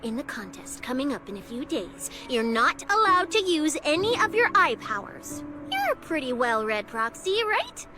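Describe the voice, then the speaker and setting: A very high, wildly animated young snake woman from a video game. She is a cheeky, greedy security officer who teases playfully and hides a caring streak. Music plays behind her. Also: normal speed, few pauses. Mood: teasing, earnest. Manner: playful, teasing, expressive.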